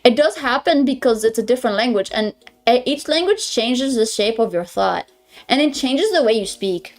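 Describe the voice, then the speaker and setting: A woman's voice: a little high, lively intonation, moderate pace. A young woman from Greece with a Greek accent, chatting casually with her stream chat and often stumbling over her words. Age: young adult.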